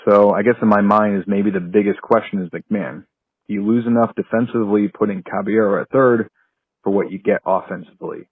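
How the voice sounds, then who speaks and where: A man's voice: on the low side, steady tone, brisk, slightly rough, no room sound. An American man leaving a casual, analytical sports fan's voicemail over the phone. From North Carolina. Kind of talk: casual tactical fan analysis. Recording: dry, tinny phone voicemail.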